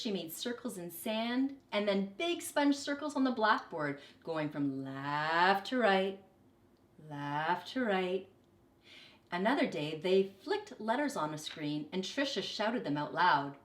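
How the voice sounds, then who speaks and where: A woman reading a children's story aloud expressively, with deliberate, dramatic emphasis. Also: medium pitch, very animated, echoey room. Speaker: storybook reader, teacher.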